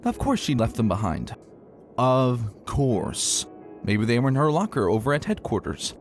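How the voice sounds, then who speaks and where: A man narrating a dramatic story in an expressive, emphatic and colloquial way. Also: mid-range voice, unhurried. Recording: soft music behind narration.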